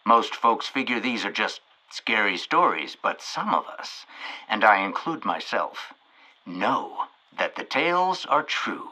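An actor playing a folksy, knowing narrator who tells a storybook tale with ominous suspense in an audio drama. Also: male voice, on the low side, big pitch swings, a little slow, pushed and bright, exaggerated voice, poor audio.

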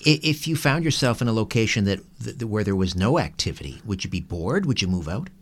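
A man with a low voice hosts an interview podcast. He puts questions to his guests and speaks to listeners as the show's presenter. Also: big pitch swings, fast talker. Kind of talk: hosting an interview show.

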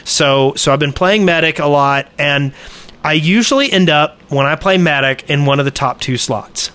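Guy on a gaming podcast, kinda high bright voice, hesitant, thinks out loud. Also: moderate pace, smooth. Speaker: podcast co-host. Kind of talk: casual gaming podcast chat.

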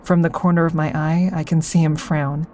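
An actress playing an observant, guarded woman, narrating in the first person for an audio drama in a measured way. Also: low voice, little pitch movement, dark tone, a little hiss or hum, natural voice. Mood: watchful, reflective.

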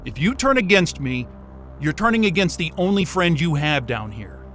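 An actor playing a stubborn, frayed, sardonic astrophysicist in a naturalistic sci-fi audio drama, talking in a profane, wry, agitated way. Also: male voice, fairly high pitch, sing-song, normal speed, fairly bright tone, slight background noise. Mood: determined, wry.

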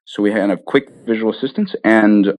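Low-voiced man explaining in an interview. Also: fairly quick, darkish tone, no echo, rough recording. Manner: conversational, punctuates points with "right?" and "you know". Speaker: guest, app founder being interviewed.

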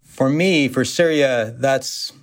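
An American man, a surgeon, recalling his work in a thoughtful, halting way with fillers. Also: mid-range voice. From United States. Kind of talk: interview recollection.

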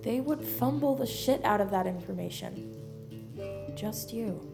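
A woman's voice: on the high side, lively intonation, a little slow, gravelly, over music. A woman playing a guarded, blunt but vulnerable American teenage girl in an audio drama, earnest and slangy. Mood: vulnerable, confessing. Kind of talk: naturalistic audio drama acting.

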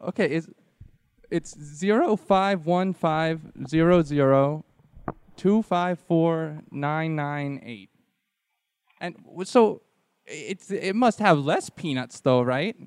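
A man's voice: a little high, darkish tone, clear, resonant tone, dry recording. A man playing a naive, worried father on a prank call, hesitant and stammering. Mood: worried, clueless. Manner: hesitant, stammering, slow on the uptake.

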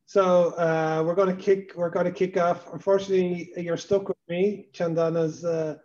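A man's voice: sits high, little pitch movement, normal speed, darkish tone, highs cut off. A middle-aged man hosting a podcast, interviewing guests in a casual, chatty way. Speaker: podcast host.

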